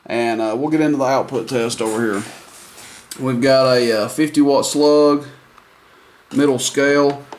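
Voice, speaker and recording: Male seller, casual bench demo, easygoing and chatty, lots of fillers, average pitch. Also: fairly even intonation, unhurried, long pauses, darkish tone, slight background noise. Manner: easygoing, rambling with many fillers. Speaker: CB radio seller.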